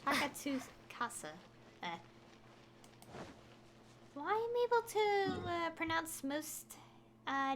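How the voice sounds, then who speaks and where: A woman's voice: medium pitch, background noise. A woman game streamer giving casual, hesitant playthrough commentary, mumbling to herself. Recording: game music behind voice.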